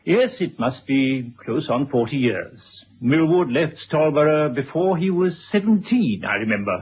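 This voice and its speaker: An actor playing a genial, garrulous retired headmaster, formal and wordy, in a 1970s radio drama. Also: male voice, mid-range voice, animated, a little slow, natural voice. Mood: genial, persistent. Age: older. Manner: formal, wordy, chuckling asides. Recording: dull old radio drama recording.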